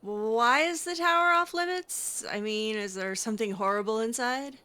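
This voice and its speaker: A woman's voice: a little high, moderate pace, pushed and bright. A woman playing a video game in character, giving casual commentary with wry, sarcastic, skeptical asides. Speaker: video game player roleplaying as a mage character.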